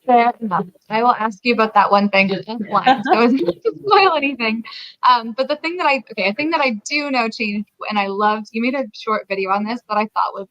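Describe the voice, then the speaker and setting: A woman's voice: fairly high pitch, a little fast, a bit bright, no echo. A woman interviewing an author on a live video call, full of eager praise and questions. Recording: dry, dull lo-fi video call audio.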